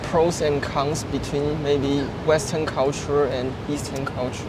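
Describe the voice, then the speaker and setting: A man's voice: fairly high pitch, level tone, measured pace. Eager Taiwanese-accented man interviewing on a street. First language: Mandarin. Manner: enthusiastic, reacts with wow and mm. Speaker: host, interviewer. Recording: traffic noise behind.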